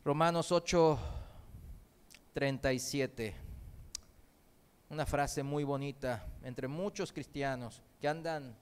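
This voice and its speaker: Lively high-voiced preacher with mixed Spanish accent. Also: male voice, takes it slowly, long pauses, clean tone. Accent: Spanish learned in Argentina, mixed with Mexican. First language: Korean. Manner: lively, jokes, asks the congregation rhetorical questions. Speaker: visiting preacher. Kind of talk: sermon. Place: church.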